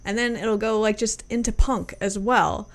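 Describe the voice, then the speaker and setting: A woman's voice: medium pitch, fairly even intonation, moderate pace. A woman co-hosting a podcast, chatting casually about an album with lots of fillers and some swearing, on a webcam call from a room at home. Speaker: podcast co-host. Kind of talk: casual music review chat.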